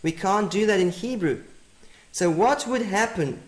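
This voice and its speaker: Presenter, Afrikaans native, higher male voice, methodical and repetitive, echoey room. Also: expressive, measured pace. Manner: methodical, repeats key points. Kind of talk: teaching presentation. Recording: roomy echo.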